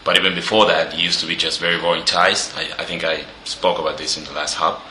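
A man with a very deep voice talks football on a podcast, hesitant and stumbling as he starts his points. His tone is bright and forceful. The living room around him sounds echoey, with faint noise. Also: flat delivery, fast. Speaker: podcast co-host. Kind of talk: casual football analysis.

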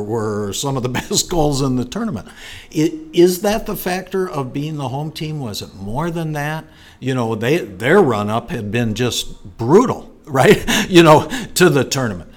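Man hosting a casual soccer chat. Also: medium pitch. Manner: asks leading questions, echoes the guest.

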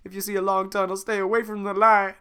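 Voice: flat delivery, sharp tone, clean tone, natural voice. A podcaster doing an impression of a panicky, overexcited male talking donkey in a home podcast retelling. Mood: frantic. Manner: high-strung, rapid, overexcited. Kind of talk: amateur podcast voice acting.

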